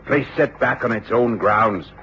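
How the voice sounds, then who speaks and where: Period radio drama cabby male actor, plain-spoken and obliging, low pitch, muffled sound with faint noise. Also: a little slow, pushed and bright. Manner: deferential, chatty, plain-spoken. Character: working-class London cabby, obliging old hand. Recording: dull, noisy radio drama recording.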